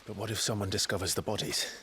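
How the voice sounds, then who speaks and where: An actor playing an earnest, guarded young warrior in a fantasy video game, speaking in a terse, serious way. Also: male voice, fairly low pitch, steady tone, fast talker, pushed and bright, slight background noise. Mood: guarded, doubtful.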